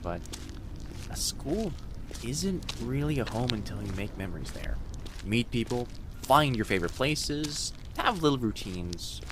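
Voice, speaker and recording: A man voicing a friendly, gently teasing young fox-spirit college student in an immersive roleplay audio, speaking casually and reassuringly to the listener. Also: mid-range voice, sing-song, measured pace, noisy background. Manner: soft-hearted, reassuring, casual conversational delivery. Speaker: voice actor.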